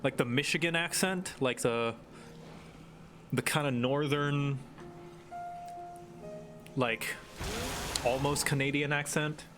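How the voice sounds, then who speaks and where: A man's voice: a little high, fairly even intonation, slow, long pauses. A man with a general American accent who grew up in Thailand, chatting casually while streaming a game, rambling and laid-back with lots of "like". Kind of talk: casual gaming stream chat. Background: game music.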